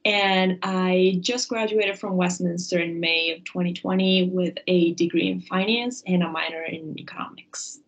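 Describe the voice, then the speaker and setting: A woman's voice: on the low side, steady tone, moderate pace, few pauses. A woman in her twenties from Italy briefly introducing herself as an alumni panelist on a video call. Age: 20s. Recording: muffled video call audio.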